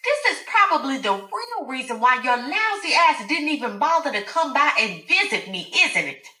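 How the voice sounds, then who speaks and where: Shrill, sharp-voiced furious older woman, cursing and crying out, played by a woman narrator. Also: big pitch swings, moderate pace, exaggerated voice. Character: furious foul-mouthed betrayed older woman. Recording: roomy echo.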